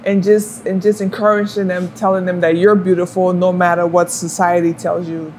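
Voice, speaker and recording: A woman's voice: lowish voice, level tone, normal speed, a little hiss or hum. Liberian-raised woman hairstylist chatting. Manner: warm storytelling with fillers and rhetorical "you know". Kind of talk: casual chat while styling hair.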